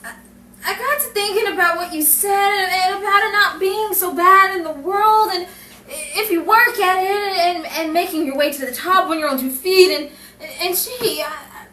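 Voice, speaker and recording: Woman acting a very high-pitched naive young girl. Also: pushed and bright, full character voice. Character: naive, frantic, tender-hearted girl, younger sister. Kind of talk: 1950s crime melodrama acting. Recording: roomy, distant mic, faint noise.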